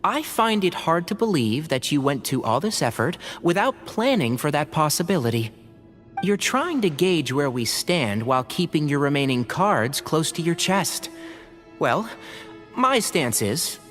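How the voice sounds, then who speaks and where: A man playing a calm, analytical negotiator in a fantasy game, frank and composed. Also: a little high, unhurried, faint music behind. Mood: firm, probing.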